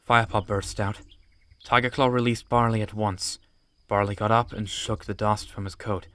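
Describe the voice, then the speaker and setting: A man's voice: lowish voice, steady tone, normal speed, bright, forceful tone. A man reading scripted audiobook narration of an animal adventure story.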